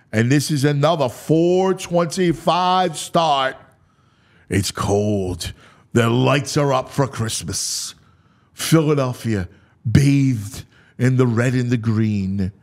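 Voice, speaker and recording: A man hosting a sports talk show, mixing dramatic, drawn-out delivery with casual, offhand asides. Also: medium pitch.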